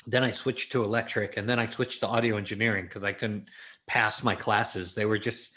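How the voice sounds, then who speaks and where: A man's voice: fairly low pitch, steady tone, brisk, bright, forceful tone. A man from Los Angeles with an American accent, talking casually and informally as an interview guest, in a storytelling way. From Los Angeles, United States.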